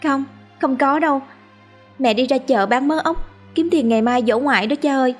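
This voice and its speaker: A woman with a Southern Vietnamese accent voicing a caring young girl who speaks in a high, pleading, childlike way. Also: moderate pace, soft background music. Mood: earnest, worried.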